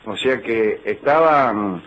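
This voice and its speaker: A man's voice: fairly low pitch, expressive, takes it slowly, very noisy. A middle-aged man with a Rioplatense Spanish accent, hosting a radio show as a humorous lecture with dry, digressive asides. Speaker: radio host.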